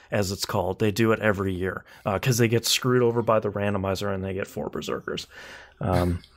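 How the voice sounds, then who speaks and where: A man hosting a video game podcast in casual conversation, talking in a rambling, enthusiastic and wry way. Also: fairly low pitch. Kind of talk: casual podcast chat about video games.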